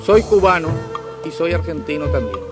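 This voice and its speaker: Higher-voiced man giving an archive speech. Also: animated, moderate pace, music in the background. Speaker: speaker at a political assembly. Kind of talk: formal speech to delegates.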